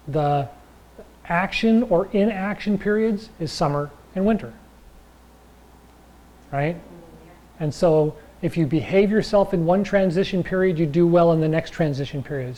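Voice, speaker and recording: A man teaching a chi gung and tai chi class in a loose, rambling way, with a slightly raspy voice and frequent check-ins with his listeners. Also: on the high side, dark tone, low-quality recording. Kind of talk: casual class talk.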